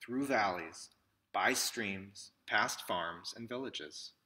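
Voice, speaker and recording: A man in his thirties with a bright, forward voice, pitched a bit low. He reads a children's picture book aloud, setting out short phrases one by one with pauses between them. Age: 30s. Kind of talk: read-aloud storybook narration. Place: small indoor room with classroom wall displays.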